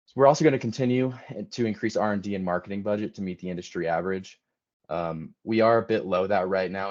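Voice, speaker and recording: A man with a very low voice gives a prepared business presentation. He is a little hesitant, often breaking phrases with ums. The sound is dull and lo-fi. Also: a little mellow. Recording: dull lo-fi audio.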